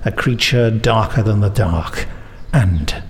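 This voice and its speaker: A man's voice: on the low side, slow pace, a little hiss or hum. A man hosting a podcast, mixing chatty, jokey banter with playfully theatrical scripted promo reading. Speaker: podcast host. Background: music bed.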